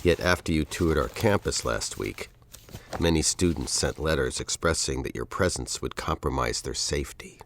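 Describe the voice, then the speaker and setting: An actor playing a stern, condescending university dean with a formal, interrogating manner in an audio drama. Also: male voice, a bass voice, normal speed, slight background noise. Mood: cold, suspicious.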